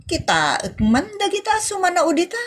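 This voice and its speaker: A woman's voice: very high voice, huge pitch swings, fairly quick, background noise, heavily acted. A woman playing a stubborn, sassy grown child in an Ilocano comedy drama, emphatic and defiant, with an Ilocano accent. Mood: secretive, stubborn.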